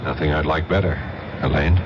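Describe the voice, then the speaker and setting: An actor playing a plain-spoken American federal narcotics agent in a 1970s radio drama. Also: male voice, extremely low pitch, steady tone, fairly quick. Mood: easygoing, flirtatious. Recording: muffled, noisy old radio broadcast.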